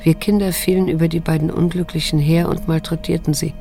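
An actress playing a wounded, restrained middle-aged daughter who narrates in a radio drama, measured and introspective. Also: female voice, low voice, little pitch movement, dark tone, faint music behind. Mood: remorseful.

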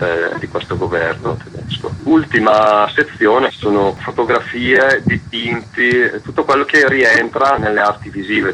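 Low-voiced man over noticeable noise. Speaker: interviewed zine and label founder. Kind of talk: spoken interview, explanatory.

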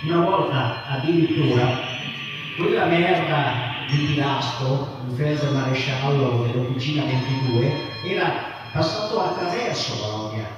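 A man with a fairly high voice delivers a spoken-word story live, colloquial and emphatic. He sounds far from the mic in a heavily reverberant venue, telling it like a first-hand memory. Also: dull audio. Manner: colloquial, emphatic, with swearing and conversational asides. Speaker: author reading his story at a festival. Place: live festival venue at an occupied factory. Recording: very echoey, distant live festival recording with music. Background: loud electronic music.

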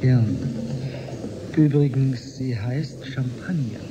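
A male actor plays a courteous, idealistic historian with a gallant and faintly pedantic manner. His voice is mid-pitched, with music clearly playing behind it, in a radio drama. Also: slow, close to an everyday voice, low-quality recording. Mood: gallant, flirtatious. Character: courteous, flirtatious, idealistic male historian aboard a space station. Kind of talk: German radio drama acting.

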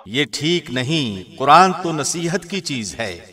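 A man reading a scripture translation as a scripted narration, in a measured, formal way. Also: fairly high pitch, big pitch swings, sharp tone. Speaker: translation narrator, radio host.

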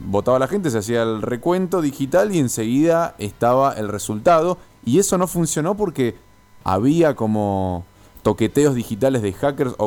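A male radio host with a Buenos Aires Argentine accent and a medium-pitched voice. He interviews informally, building his questions in a wordy, roundabout fashion. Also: darkish tone. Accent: Buenos Aires Argentine Spanish.

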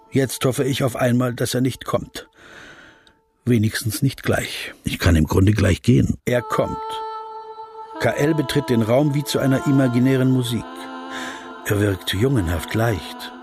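An actor playing a reserved, observant interviewer who narrates his inner thoughts in a literary radio play, in short measured phrases. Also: mid-range voice, lightly acted.

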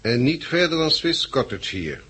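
A callous officer giving orders in an old radio play. His mid-pitched voice is very husky and rough, with a curt, commanding delivery. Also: big pitch swings, normal speed, a bit bright, heavily acted. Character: bossy, callous gang officer handing out orders. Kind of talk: 1960s radio drama acting.

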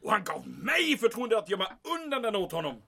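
A man acting out an anxious commandant in a radio-style serial, blustering and guarded about his secret. His voice sits high and swings widely, with a bright, forceful edge. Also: big pitch swings, gravelly, husky, exaggerated voice. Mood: grave, secretive. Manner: emphatic, peppering speech with German exclamations. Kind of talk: audio drama acting.

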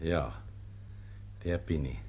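An actor playing a pious, humble monastery porter in a German radio play, speaking simply and calmly with a Bavarian accent. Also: male voice, extremely low pitch, takes it slowly, husky, full character voice. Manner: calm, simple, gently admonishing.

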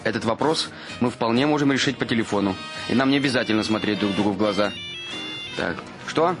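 An actor playing an evasive, brisk male company director with a shady air in an audio play. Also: lowish voice, natural voice. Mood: businesslike. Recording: music under the voice.

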